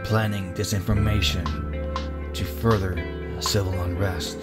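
A low-voiced, weary survivor broods through a zombie apocalypse drama, acted by a man. He speaks deliberately and dramatically, like someone weighed down by guilt. Loud music plays behind him. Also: steady tone. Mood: bitter, brooding. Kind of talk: cinematic roleplay narration and dialogue.

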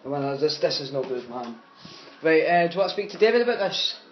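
Higher-pitched young man, taunting and slangy. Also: big pitch swings, brisk, muffled recording, slight background noise. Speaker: prank caller. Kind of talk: casual prank call banter.

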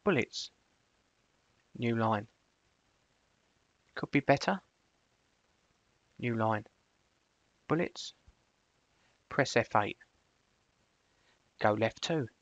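A man presenting a screencast software tutorial, switching between casual explanation and clipped spoken commands. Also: mid-range voice, animated, extremely slow, pauses often, no echo, dull audio.

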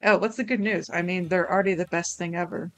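A woman's voice: fairly low pitch, fairly even intonation, fast, fairly bright tone, a little hiss or hum. A woman co-hosting a gaming video, commenting casually with joking, mock-dramatic delivery. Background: music.

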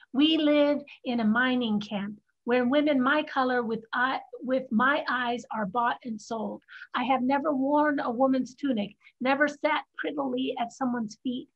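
Over a dull-sounding video call, a woman acts a defiant girl of fifteen who has lived disguised as a boy. Her voice is high, and she delivers the play's lines earnestly. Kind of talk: staged play reading.

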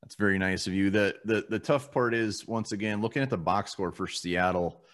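A man's voice: on the low side, moderate pace, a bit bright. A man co-hosting a casual postgame sports show from a home room over a video call, blunt and casually swearing as he runs through stats. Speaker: co-host of a sports fan show.

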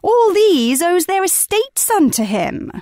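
A woman's voice: very high voice, big pitch swings, slow pace, mellow, no room sound, clean studio sound, full character voice. A woman playing a petulant, self-important Athenian lord, theatrically indignant, in a Shakespeare play reading. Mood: affronted.

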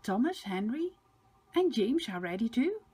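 An older woman narrating a picture book for small children, expressive and emphatic with sing-song repetition. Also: fairly high pitch, measured pace, slightly rough. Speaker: storybook reader for children.

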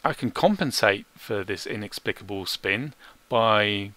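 Measured man commentating, dry close recording. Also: on the low side, fairly bright tone, no room sound. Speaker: gaming video creator. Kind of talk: video game commentary over gameplay.

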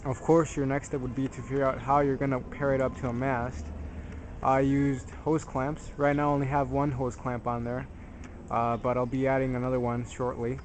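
A man's voice: mid-range voice, level tone, moderate pace. A man narrating an informal hands-on tutorial in a plain-spoken, slightly hesitant, self-deprecating way. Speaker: DIY tutorial presenter. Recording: noisy amateur camera audio.

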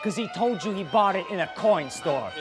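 A man with a high voice rants angrily on a theatre stage, cursing and accusing. Music sits clearly behind him in the hall. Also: animated, moderate pace. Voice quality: angry, profane. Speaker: stage actor. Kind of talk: live stage acting.

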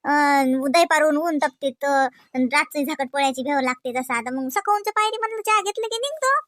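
A woman's voice: high voice, animated, moderate pace, a bit bright, no room sound, studio quality. A woman voicing a gossipy, rambling village grandmother in a comedy cartoon, speaking colloquial Marathi with a rural Varhadi accent from Vidarbha. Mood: explaining. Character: chatty village grandmother grumbling about family, fond of grandchildren.

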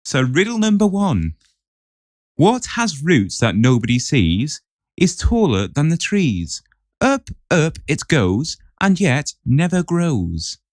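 A man's voice: a little high, huge pitch swings, slow, dry recording, studio quality. A man on a podcast setting a quiz, chatting casually and reading riddles aloud.

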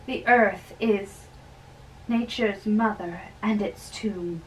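A young woman reads a play aloud at a slow, theatrical pace. She is some way from the camera mic in a bedroom, with faint noise in the recording. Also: medium pitch, far from the mic, poor audio. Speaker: amateur reader of a play. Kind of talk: dramatic reading of a play. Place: small bedroom, indoors, seated on a bed. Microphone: camera a few metres away.

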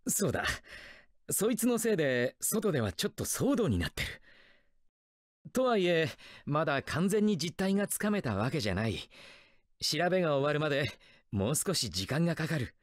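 A man's voice: on the high side, big pitch swings, moderate pace, no echo, studio quality. A man playing a stern, protective adult ninja teacher in an anime game, speaking earnestly and firmly. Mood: stern, guarded. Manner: earnest, firm, admonishing. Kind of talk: dramatic anime game acting.

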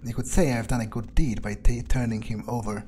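A man's voice: medium pitch, fairly even intonation, a little fast, dark tone, a little hiss or hum. A man improvising in character while playing a game, thinking aloud in a hesitant, casually profane, rambling way. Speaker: let's player.

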